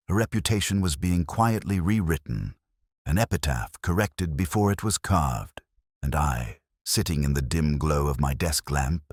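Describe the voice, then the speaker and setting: A man narrating a scripted detective drama as a weary, reflective police inspector, in a brooding, measured, literary manner. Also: extremely low pitch, dry recording, studio quality. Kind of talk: scripted first-person audio drama narration.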